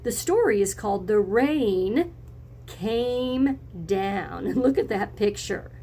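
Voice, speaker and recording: Woman teacher, children's read-aloud, pronounced vocal fry, expressive simple phrasing, some background noise. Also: medium pitch, takes it slowly. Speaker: teacher reading a picture book to young children. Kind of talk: read-aloud lesson for children, with questions to listeners.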